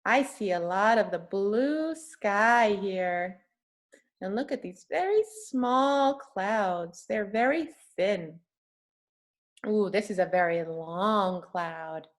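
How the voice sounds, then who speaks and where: A woman's voice: medium pitch, animated, slow pace. A woman reading a picture book aloud to young children, talking simply and encouragingly and often putting questions to them.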